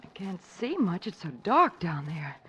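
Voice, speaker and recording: A woman's voice: fairly low pitch, big pitch swings, measured pace, fairly bright tone. An actress playing a game but uneasy young lady, a sleuth's well-spoken companion, in a 1940s radio drama. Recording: noisy old radio recording.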